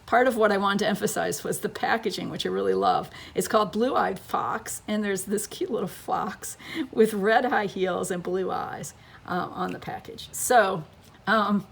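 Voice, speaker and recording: A woman's voice: mid-range voice, moderate pace, vocal fry, slightly rough. A woman who is losing her voice gives a chatty scrapbooking tutorial, thinking aloud as she works at her crafting table.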